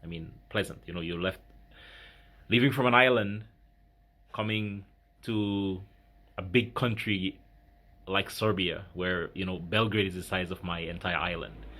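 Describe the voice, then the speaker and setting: Guy from grenada, thirties, lowish voice, casual reflective vlog, lots of ums and restarts. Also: bright, forceful tone. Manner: reflective, halting, many fillers and restarts. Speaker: vlogger. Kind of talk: casual talk to camera.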